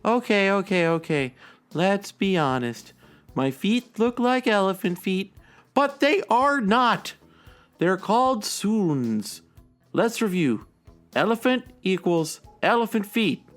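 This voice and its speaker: A prissy, sulky oddball voiced by an actor reads a comic blog. The delivery is very slow and defensively deadpan, with soft music beneath. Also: mid-range voice. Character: prissy, sulky, self-conscious oddball blogger who hates fun. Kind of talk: dramatic reading of a comic blog. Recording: music under the voice.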